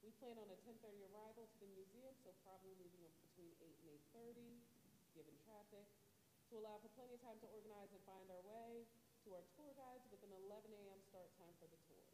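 A woman teacher with a loud voice presenting a field trip proposal to a school board in an upbeat, brisk way. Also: medium pitch. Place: large school auditorium. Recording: echoey auditorium with long reverb and background noise.

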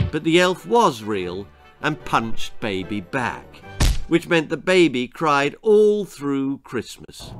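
An older man in his sixties narrates a children's tale theatrically. His delivery is slow and deliberate, with highly animated intonation and faint music around it. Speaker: author reading his own stories. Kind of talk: dramatic children's story narration. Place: small book-lined room indoors.

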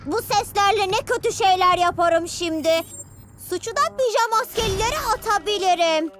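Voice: extremely high pitch, normal speed. An actor voicing a gloating, theatrical boy villain inventor in a children's cartoon dub, with a taunting manner. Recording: loud cartoon music behind.

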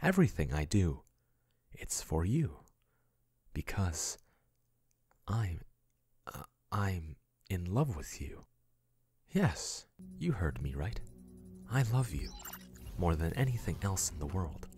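Guy doing a low husky yandere boyfriend asmr voice, swoopy tone, soft and clingy, dry close sound. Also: big pitch swings, slow, long pauses, no echo, heavily acted. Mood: possessive. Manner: soft, doting, then coolly possessive. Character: obsessive male chatbot-turned-human yandere lover.

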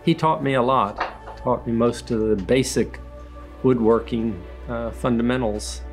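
An older man, a retired engineer, reflecting slowly on his woodworking in a documentary interview, with many pauses and restarts. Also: medium pitch, soft background music. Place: woodworking shop in a farm building.

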